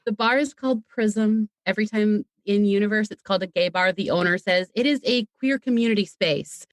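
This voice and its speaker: A woman's voice: medium pitch, brisk, no room sound. A woman speaking as a podcast guest, chatting casually in a talkative, playful way. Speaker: podcast guest, audio drama creator. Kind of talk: casual interview chat.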